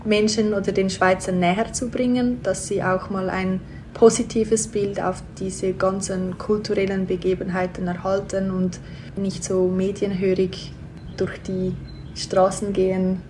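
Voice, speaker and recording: A woman's voice: mid-range voice, little pitch movement, unhurried, noisy background. A woman, a guest answering questions thoughtfully with frequent hesitations. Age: 20s. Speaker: guest, theology student. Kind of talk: casual interview.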